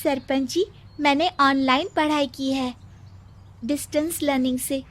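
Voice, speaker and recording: A woman voicing a confident, studious young village girl in a cartoon story, earnest and clear. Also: high-pitched, steady tone, a little slow.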